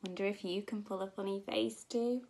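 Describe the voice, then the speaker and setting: A young woman, a nursery teacher, reading a children's story expressively and gently, as if to small children. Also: medium pitch, steady tone, moderate pace, a little hiss or hum. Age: young adult. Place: indoor room at home.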